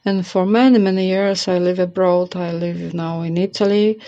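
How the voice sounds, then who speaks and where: Middle-aged female vlogger, Polish accent, lowish voice, rambling and hesitant, slightly dull roomy sound. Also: fairly even intonation, normal speed, dark tone. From Poland. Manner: hesitant, rambling delivery with many repeats. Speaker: channel host. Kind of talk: casual opinion monologue.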